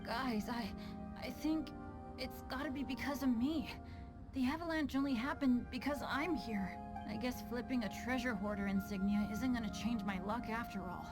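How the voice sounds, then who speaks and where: A woman's voice: fairly high pitch, normal speed, over loud music, natural voice. A woman voicing an earnest, unlucky teenage boy adventurer in an anime-style game, with a boyish voice. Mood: guilty, downcast.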